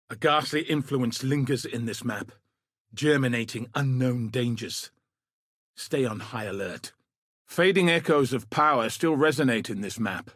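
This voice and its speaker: Gruff game commander, husky mid-pitched voice, grave and dry, clipped military delivery, close dry sound. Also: male voice, no room sound. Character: gruff, dutiful male military commander sending a warrior into danger. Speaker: video game character, quest giver. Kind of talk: scripted video game voice acting.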